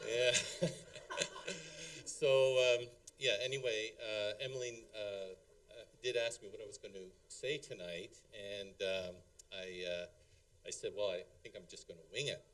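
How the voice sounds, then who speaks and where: A man's voice: fairly low pitch, steady tone, measured pace, a bit bright, distant mic. A middle-aged man giving a rambling, hesitant wedding speech as father of the bride, full of ums and chuckles. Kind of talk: halting, unscripted wedding toast. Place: wedding reception hall.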